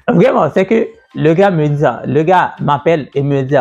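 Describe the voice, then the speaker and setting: A man telling the story of his own business journey in a casual way, repeating himself and pausing with fillers. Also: fairly high pitch, big pitch swings, creaky, a little mellow, slightly rough. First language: French. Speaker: entrepreneur and business coach. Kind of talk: personal story told to camera. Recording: dull, lo-fi sound with faint music.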